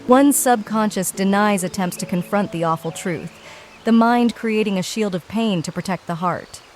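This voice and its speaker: An actress voicing a serene, dignified healer and leader in a video game, speaking archaic, formal English. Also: female voice, medium pitch, moderate pace, a little hiss or hum, close to an everyday voice. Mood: concerned, composed. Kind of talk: scripted video game voice acting. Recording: studio voice under game music.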